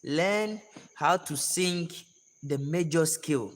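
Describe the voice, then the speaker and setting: A hoarse man giving a relaxed music lesson to singers, repeating his advice in an unhurried, gentle way. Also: fairly high pitch. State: hoarse, voice worn out. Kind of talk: casual spoken tutorial. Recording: long reverb with music playing behind.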